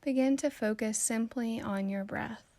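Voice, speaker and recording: A woman guiding a meditation in a calm, soothing, gently instructive way, with soft phrases that trail toward a whisper. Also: a little high, moderate pace, vocal fry, no echo. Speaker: meditation guide. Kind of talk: slow guided meditation narration.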